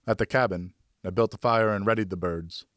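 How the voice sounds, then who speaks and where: Low-voiced man narrating an audiobook. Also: fairly quick, fairly bright tone, no echo, studio quality. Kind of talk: scripted first-person novel narration.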